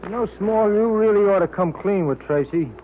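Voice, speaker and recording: High-voiced radio sidekick played by a man, tinny. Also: normal speed, lightly acted. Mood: easygoing. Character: plain-spoken, easygoing male detective's sidekick. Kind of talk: 1930s-40s radio drama acting. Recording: thin, noisy vintage radio recording.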